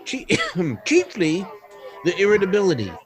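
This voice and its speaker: A man playing an anguished scientist in a 1930s-style radio drama, speaking in a grave, halting, theatrical way. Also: high-pitched, huge pitch swings, a little hiss or hum, heavily acted. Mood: anguished, confessional. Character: anguished male scientist confessing to tampering with robots.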